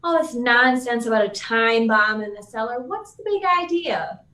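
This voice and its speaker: A fairly high female voice from an actress playing a brash young reporter in an old radio serial. She is sardonic and needling, with clear room echo around a slightly distant voice. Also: moderate pace, bright, forceful tone. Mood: sarcastic, skeptical. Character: brash, sarcastic young woman newspaper reporter. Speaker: actor in a live radio play. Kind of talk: 1940s radio serial acting. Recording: roomy, echoing, off the mic.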